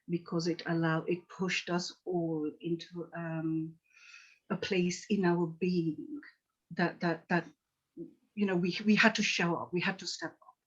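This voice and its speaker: A middle-aged woman from Tanzania speaks earnestly and reflectively, circling back with "you know" as she recounts her past. Her pitch stays level and even. The call audio sounds dull and lo-fi. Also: husky. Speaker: psychotherapist guest. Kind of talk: podcast interview storytelling.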